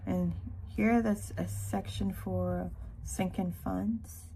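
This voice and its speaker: A woman from Ghana giving a casual walkthrough narration in an unhurried way, with hesitant pauses. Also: mid-range voice, steady tone, creaky, warm tone, slight background noise, rough recording. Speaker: planner vlogger.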